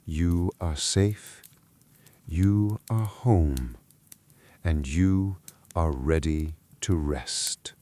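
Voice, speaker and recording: Very slow, low, mellow soothing male narrator. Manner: gentle, calm, unhurried, soothing. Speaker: bedtime story narrator. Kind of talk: soothing guided relaxation and bedtime story narration.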